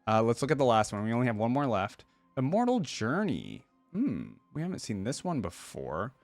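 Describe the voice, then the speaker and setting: A man's voice: medium pitch, wildly swooping pitch, normal speed, dry recording, soft background music. A man hosting a reaction video, giving casual, chatty commentary and thinking aloud in an informal way.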